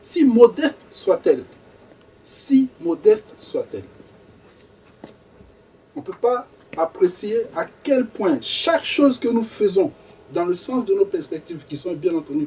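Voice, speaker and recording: A man's voice: sits high, darkish tone. Distant man leading a group session. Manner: measured, repeats phrases for emphasis. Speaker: networking session facilitator. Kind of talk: informal presentation to a group. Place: meeting room in a bookshop. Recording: distant mic, faint room noise.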